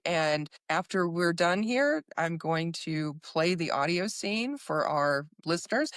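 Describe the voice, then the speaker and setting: Woman, podcast host, slightly low register. Effusive, halting, conversational delivery, little room sound. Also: clear, resonant tone, no room sound, studio quality.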